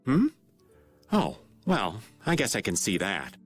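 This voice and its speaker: Casting: teasing, world-weary game drifter, folksy, very lively pitch, soft music behind. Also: male voice, slow, husky, exaggerated voice. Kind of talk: video game voice acting.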